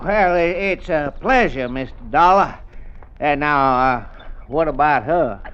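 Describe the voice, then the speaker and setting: An actor playing a polite police sergeant in an old radio drama. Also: male voice, on the high side, a little slow, clear, resonant tone, lightly acted. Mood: brisk. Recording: dull lo-fi old radio recording.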